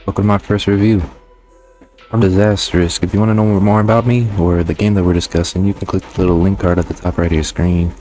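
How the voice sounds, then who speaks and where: A man's voice: deep voice, little pitch movement, fast talker, mellow, soft background music. A man hosting a casual video game review, talking in a slangy, informal, conversational way. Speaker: host of a game review channel.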